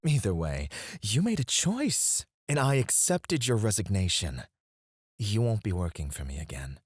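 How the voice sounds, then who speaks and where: A man playing an obsessive, controlling CEO in an intimate ASMR roleplay, speaking in a deliberate, condescending, menacingly doting way with a breathy voice. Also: fairly low pitch, big pitch swings, dry recording, clean studio sound, full character voice. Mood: cold, possessive. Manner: slow, deliberate, condescending, swinging between murmurs and outbursts.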